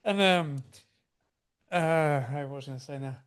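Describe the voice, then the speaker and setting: A man's voice: fairly high pitch, unhurried, clean tone. A man hosting an interview, asking questions eagerly and agreeing often. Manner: eager, frequent agreeing backchannels. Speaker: interview host.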